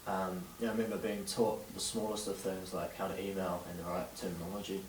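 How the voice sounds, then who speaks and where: A young man in his late teens with a New Zealand accent, answering interview questions haltingly with many fillers. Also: deep voice, little pitch movement, slight background noise. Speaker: interviewee, young DJ and event organiser. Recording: distant roomy camera sound with faint ambience.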